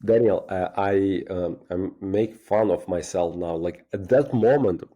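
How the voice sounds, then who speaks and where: A man from Ukraine with a Ukrainian accent, a guest on a casual podcast interview, speaking haltingly and often restarting his words, with self-deprecating humor. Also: low voice, lo-fi sound. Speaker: guest, agency founder.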